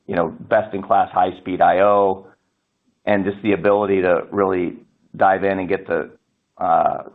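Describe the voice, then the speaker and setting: A man speaking as a company executive answering analyst questions on an earnings call, halting and repeating words as he builds his answers. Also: low voice. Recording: phone-like narrowband conference call audio.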